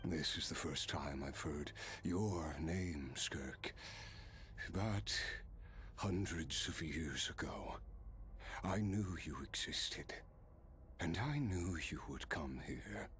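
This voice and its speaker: An actor playing an ancient cursed nobleman swordsman in a game, telling his story in a halting, weary, measured way. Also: very deep voice, fairly bright tone, slight background noise, exaggerated voice. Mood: courteous, measured. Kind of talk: dramatic game dialogue, slow storytelling.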